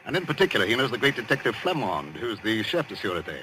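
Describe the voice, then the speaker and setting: An actor playing a confident, avuncular middle-aged lawyer in a 1940s radio drama, emphatic and self-assured. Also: male voice, on the low side, sing-song, fast. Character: confident, breezy older lawyer, an avuncular debunker. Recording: noisy vintage 1940s radio recording.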